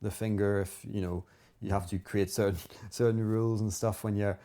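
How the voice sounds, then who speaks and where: Earnest low-voiced Northern Irish man. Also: level tone, moderate pace, mellow. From Northern Ireland. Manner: rambling with many fillers, earnest and impassioned. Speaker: guest herbalist. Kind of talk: casual conversation.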